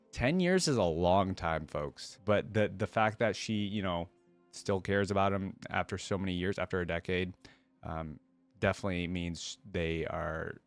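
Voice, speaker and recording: A man hosting a reaction video, reading a story aloud and commenting casually as he goes. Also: low voice.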